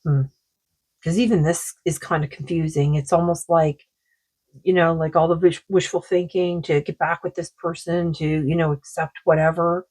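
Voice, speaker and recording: Lady doing a card reading, kinda hoarse and losing her voice, lots of likes and you knows. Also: low voice, level tone, a little mellow, rough recording. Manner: rambling, many fillers, reads card texts aloud slowly. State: sore throat, losing her voice. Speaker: oracle card reader. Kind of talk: casual card reading monologue.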